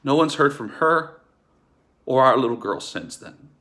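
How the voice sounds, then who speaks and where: An actor playing a bitter, wronged husband in a theatrical table read, speaking in an accusatory, ominous and emphatic way. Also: male voice, mid-range voice, lively intonation, a little slow, bright, forceful tone. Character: bitter, wronged husband warning about a sinister doctor.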